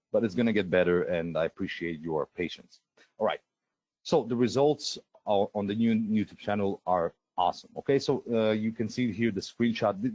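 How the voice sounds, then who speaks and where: A man with a somewhat low voice, his first language Russian, runs a live webinar and sells a piece of software. He talks eagerly and stumbles, repeating words and adding "uh" as he clicks through screens. The sound is close and dry, with no room echo. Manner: eager salesy pitch, many fillers and restarts. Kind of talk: live sales webinar, screen-share walkthrough.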